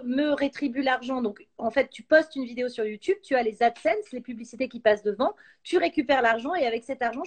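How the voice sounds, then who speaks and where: A woman, chatting casually and enthusiastically as a guest on a live stream. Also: sits high. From France. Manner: lively, enthusiastic, many fillers. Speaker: actress and YouTuber, guest.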